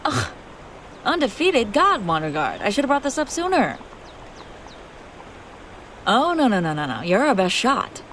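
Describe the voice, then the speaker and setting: A woman's voice: fairly high pitch, wildly swooping pitch, a little slow, pauses often, a bit bright, a little hiss or hum, heavily acted. A woman playing a haughty, wry young princess on the run in an acted fantasy audio roleplay, bantering with exasperated sarcasm. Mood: flustered, exasperated. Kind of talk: acted audio roleplay, one-sided dialogue.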